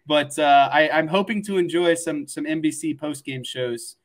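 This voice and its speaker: A man's voice: fairly high pitch, normal speed. A young man co-hosting a sports show, chatting casually.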